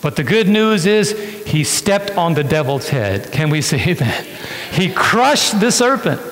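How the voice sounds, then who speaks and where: A man's voice: on the high side, sing-song, unhurried, a bit bright. A man preaching a sermon as a pastor, emphatic, stretching key words and putting questions to his listeners. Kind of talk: sermon with rhetorical questions to congregation. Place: church hall, on stage. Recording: reverberant church hall sound.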